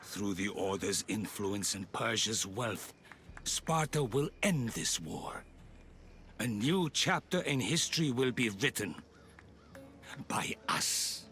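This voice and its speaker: An aging Persian zealot who leads a secret order in a video game. His delivery is slow and forceful, full of grandiose conviction and calm menace. Music plays behind him. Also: fairly low pitch, heavily acted. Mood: solemn conviction. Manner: grandiose, deliberate speechmaking. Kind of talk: video game cutscene acting.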